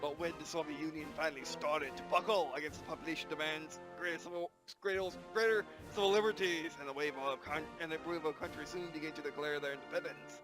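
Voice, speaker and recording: A grave American president delivers a slow, solemn farewell address, as a man puts the voice on. The voice sits fairly high for a man but is pushed lower and quieter than his everyday talk, with game music playing clearly behind. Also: fairly even intonation, natural voice.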